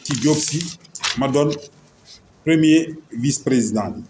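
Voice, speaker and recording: A man with a Senegalese accent speaking as a local mayor, making a semi-formal announcement in a halting, list-like way. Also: mid-range voice, lively intonation. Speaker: local mayor and association vice-president. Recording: roomy echo, faint background noise.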